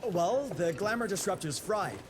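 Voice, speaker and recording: A woman's voice: fairly low pitch, sing-song, moderate pace, background noise. A woman playing an awkward, hesitant engineer in a video game cutscene. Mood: hesitant, technical. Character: flustered, awkward female engineer.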